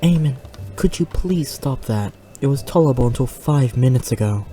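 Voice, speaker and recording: A man's voice: medium pitch, lively intonation, moderate pace, mellow, soft background music, lightly acted. A man playing an irritated demon reporter in a comedic audio drama, dry and curt with a partner.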